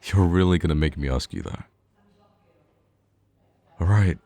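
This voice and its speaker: A man's voice: low-pitched, a little slow, mellow, no echo. A man playing a smitten young best friend in an intimate romantic ASMR roleplay, speaking hesitantly and tenderly with some playful teasing. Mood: flustered, playful.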